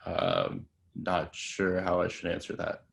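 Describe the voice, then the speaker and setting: A man's voice: sits low, big pitch swings, takes it slowly, vocal fry, exaggerated voice. A man reading a nervous young actor posing as a gambler at a table read, stammering and rambling when scared.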